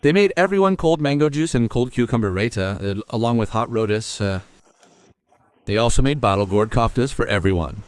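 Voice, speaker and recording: A man's voice: mid-range voice, lively intonation, fairly quick, dry recording. A man reading scripted narration for a cartoon story, as the narrator.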